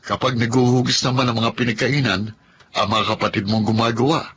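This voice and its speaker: An actor playing a frustrated, blunt husband of thirty in a Filipino radio drama. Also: male voice, mid-range voice, fairly even intonation, brisk, fairly bright tone, a little hiss or hum, natural voice. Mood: exasperated, scolding. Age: 30s.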